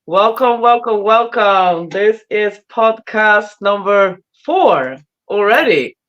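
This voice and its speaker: A woman hosting a casual live interview in an excitable, informal way. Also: medium pitch, slow pace. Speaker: podcast host. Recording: dull lo-fi online audio.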